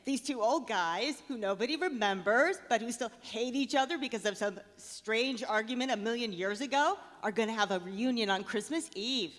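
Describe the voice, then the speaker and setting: A woman's voice: on the high side, normal speed, few pauses, a bit bright, close to an everyday voice. A woman playing a gossipy, mocking young hipster clerk with emphatic slang in a comic radio drama. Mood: amused, mocking. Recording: live stage show, reverberant hall.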